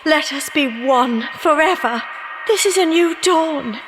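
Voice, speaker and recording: Slow, intense romantic young lover. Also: female voice, sits high, lively intonation, background noise. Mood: rapturous. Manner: declarative, romantic, theatrical. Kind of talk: poetic audio drama acting.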